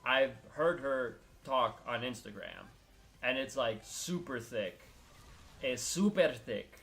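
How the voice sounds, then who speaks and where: A man's voice: mid-range voice, expressive, slow, vocal fry, a bit bright. A young man from Miami chatting casually as a co-host, rambling with lots of filler words and restarts. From Miami, United States. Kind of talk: casual chat.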